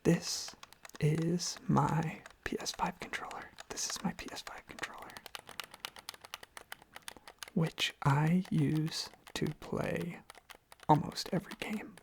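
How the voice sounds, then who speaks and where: A whispered, breathy voice rambling sleepily and gently in casual ASMR style, softly repeating phrases. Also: mid-range voice, steady tone, slow, long pauses. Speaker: ASMR video creator. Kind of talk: ASMR, softly rambling casual chat while tapping on objects. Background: tapping and clicking on objects.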